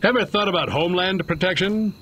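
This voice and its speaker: A man with an American accent playing a slick, zealous 1950s government agent in a video game, delivering a brisk hard sell. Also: high voice, fairly bright tone, a little hiss or hum. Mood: persuasive.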